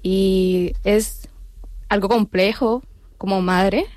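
A woman in her thirties with a Cali, Colombian accent talks as a radio guest. She is nervous, earnest and halting, and sometimes searches for words. The sound is dry and close. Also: mid-range voice, fairly even intonation, darkish tone, no echo. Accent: Colombian Spanish, Cali.

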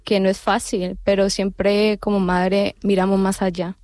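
A woman in her thirties with a Cali, Colombian accent talks as a radio guest. She is nervous, earnest and halting, and sometimes searches for words. The sound is dry and close. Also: medium pitch, little pitch movement, darkish tone, dry recording. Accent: Colombian Spanish, Cali.

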